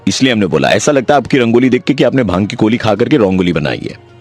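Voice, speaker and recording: A man's voice: on the low side, big pitch swings, fast, darkish tone, breathy edge, soft background music. A man with an Indian accent playing a fussy, scolding older drawing teacher in a mock-earnest prank call.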